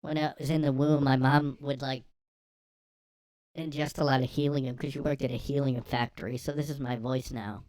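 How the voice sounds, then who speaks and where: A man voicing a grown man with an oddly high voice who complains about being mocked. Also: level tone, normal speed, darkish tone, no echo, close to an everyday voice. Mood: whiny. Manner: whiny and complaining.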